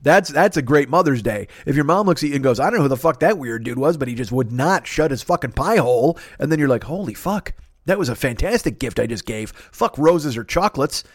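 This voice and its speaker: A man's voice: medium pitch, lively intonation, brisk, no room sound. A talkative man delivers a comic podcast monologue in a mid-range voice. He wanders off on tangents, swears freely and pokes fun at himself. The sound is dry, with no room to it. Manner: loud-mouthed, talkative, digressive; profane, self-deprecating. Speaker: podcast host. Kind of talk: rambling comic monologue with sponsor plugs.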